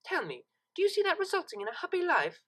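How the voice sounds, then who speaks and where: A woman's voice: extremely high pitch, wildly swooping pitch, fast, fairly bright tone, voice pushed up, exaggerated voice. A woman voicing a refined young cartoon mare who speaks formally and with cool disapproval. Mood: stern, lecturing. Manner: formal, articulate, lecturing.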